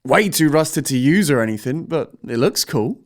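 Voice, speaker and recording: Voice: mid-range voice, lively intonation, normal speed, background noise, lightly acted. An actor playing a curious fifteen-year-old son in an audio drama, casual and playfully cheeky. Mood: excited, curious.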